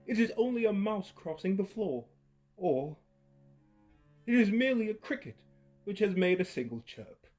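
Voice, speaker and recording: A man voicing a frightened old man who nervously reassures himself, in a higher and louder voice than his narration. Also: sing-song, normal speed, long pauses, soft background music. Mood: anxious.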